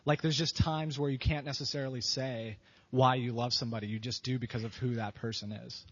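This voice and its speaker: A man from Pennsylvania answering interview questions about his wife in a relaxed, halting way, with many fillers. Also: mid-range voice. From Pennsylvania, USA. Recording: dull lo-fi sound.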